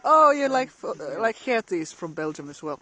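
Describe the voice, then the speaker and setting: A woman's voice: mid-range voice, very animated, normal speed, pushed and bright. A middle-aged woman live-streaming outdoors in a snowy forest, chatting casually with viewers and laughing often.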